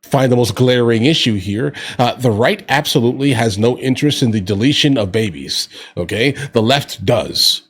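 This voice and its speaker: A man with a somewhat husky, mid-pitched voice reacts to politics. He speaks casually and with strong opinions, tossing slangy asides to the people watching, like a commentator chatting to his audience. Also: normal speed, hardly any pauses.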